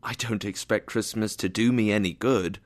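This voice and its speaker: A man voicing a cheerful, warm-hearted young nephew in a storybook tale, earnest and eager. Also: fairly low pitch, fairly even intonation, normal speed, natural voice.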